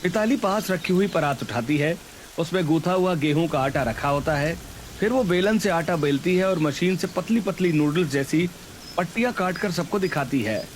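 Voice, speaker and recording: A man's voice: on the high side, normal speed, slight background noise. A man reading scripted storybook narration for a Hindi cartoon tale. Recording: background music under voice.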